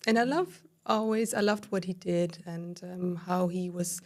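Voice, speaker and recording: A woman in her thirties from southern Germany with a German accent, a podcast guest recounting her life in a warm, filler-heavy way. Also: on the low side, measured pace. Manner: warm, storytelling with many fillers. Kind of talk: casual interview conversation.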